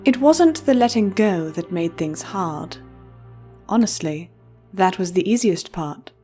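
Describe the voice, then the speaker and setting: Woman narrating a story over soft music. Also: lowish voice, expressive, unhurried. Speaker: audiobook narrator. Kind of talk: scripted dramatic story narration. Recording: faint music behind narration.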